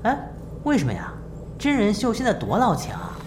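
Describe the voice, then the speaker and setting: A woman's voice: mid-range voice, huge pitch swings, moderate pace, background noise. An actress playing a gossipy, curious young female assistant in a Mandarin audio drama. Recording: loud music behind the voice.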